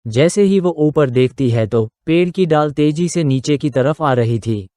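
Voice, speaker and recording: A man's voice: fairly high pitch, measured pace, mellow, no room sound, studio quality. A man narrating a scripted moral story for a children's cartoon.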